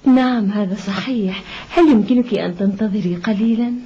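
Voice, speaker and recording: A woman's voice: mid-range voice, moderate pace, dark tone, breathy edge. A woman playing a kindly, caring mistress of a household in a cartoon dub, with a motherly manner. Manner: kind, motherly. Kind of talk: Arabic cartoon dub acting. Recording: dull old cartoon soundtrack.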